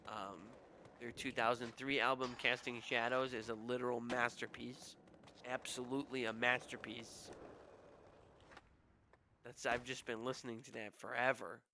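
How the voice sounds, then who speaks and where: A man's voice: mid-range voice, level tone, measured pace, long pauses, bright, forceful tone, slight background noise. A young adult man with a nasal, whiny voice, rambling casually and making crude jokes while streaming a game.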